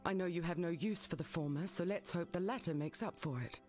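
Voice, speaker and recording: A female actor voices a weary but resolute soldier in a fantasy game. Her voice sits fairly low, earnest and serious, with faint music behind it. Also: a little fast, darkish tone, natural voice. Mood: bitter gratitude. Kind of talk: scripted fantasy game dialogue.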